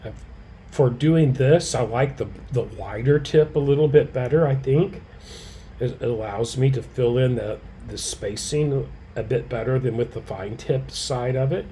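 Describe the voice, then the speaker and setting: A man hosts a live quilting lesson in a mellow, warm voice with a slight hoarseness. He answers viewers by name in an easy, relaxed way. Between explanations he murmurs quietly to himself as he works, and a faint hiss sits underneath. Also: mid-range voice, a little slow. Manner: relaxed, talks softly to himself while he works. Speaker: quilting educator. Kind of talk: live craft demonstration.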